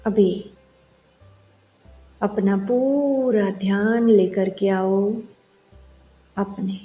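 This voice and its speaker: Slow-speaking woman guiding meditation. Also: medium pitch. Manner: soothing, gently instructing, with long pauses. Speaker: yoga nidra meditation instructor. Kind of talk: guided meditation. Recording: roomy echo. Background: soft music.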